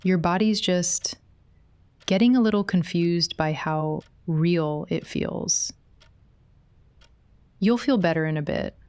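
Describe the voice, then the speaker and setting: A woman playing a possessive, quietly controlling therapist in an intimate ASMR roleplay, speaking softly and coaxingly. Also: fairly low pitch, smooth, dry recording. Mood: soothing, hypnotic. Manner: soft, unhurried, coaxing.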